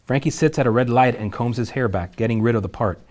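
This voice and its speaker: A man reading out the stage directions at a table read of a screenplay, in a steady, matter-of-fact way. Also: mid-range voice, fast talker.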